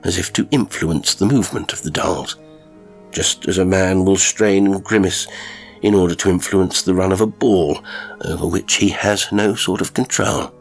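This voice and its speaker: A man narrating a first-person strange story from a script, with dramatic, expressive delivery and deliberate pauses. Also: low-pitched, creaky, soft background music. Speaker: audiobook narrator of strange stories.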